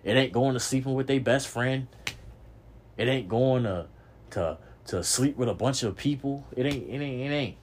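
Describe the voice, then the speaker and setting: A man's voice: medium pitch, normal speed, a little hiss or hum. A young man hosting a podcast, giving a preachy motivational monologue, repeating himself and swearing casually. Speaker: podcast host.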